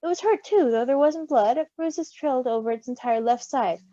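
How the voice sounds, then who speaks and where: A woman reading a story in an animated way, with giggly, casual asides. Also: sits high, moderate pace, no room sound. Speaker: story reader. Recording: slightly dull, close dry recording.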